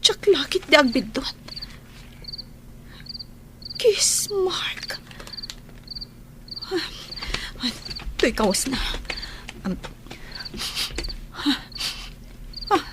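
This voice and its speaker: A woman's voice: high voice, big pitch swings, very slow, long pauses, noisy background, heavily acted. A woman playing a devoted, anxious young wife in an audio drama. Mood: shocked suspicion. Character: devoted, worried young wife who grows suspicious.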